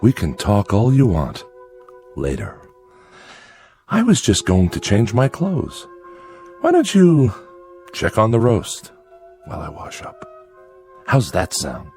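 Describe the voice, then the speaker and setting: A farm father in a radio drama who is afraid and trying to sound jolly. His folksy talk halts and placates, and his pitch leaps around dramatically. Music plays softly behind him. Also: huge pitch swings, slow, long pauses, full character voice. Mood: weary, evasive. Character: nervous farmer father, falsely jovial, afraid of his son.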